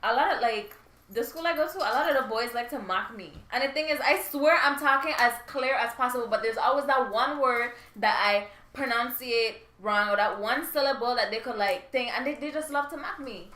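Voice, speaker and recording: A woman's voice: on the high side, a little fast, bright, forceful tone, roomy sound. A young woman with a Caribbean accent speaking candidly and emphatically in a casual podcast chat. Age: young adult. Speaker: podcast co-host.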